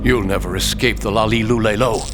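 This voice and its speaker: A man's voice: lowish voice, fairly even intonation, brisk, over loud music, natural voice. A man playing a weary, secretive middle-aged colonel in a game cutscene, explaining things in a terse, knowing way. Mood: defiant, strained. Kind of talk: dramatic video game cutscene acting.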